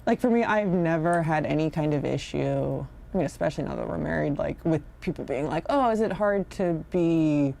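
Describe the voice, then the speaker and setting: A woman's voice: low voice, moderate pace, creaky, few pauses, dark tone. A woman in casual, reflective conversation, rambling and slipping into quoted asides as she explains herself.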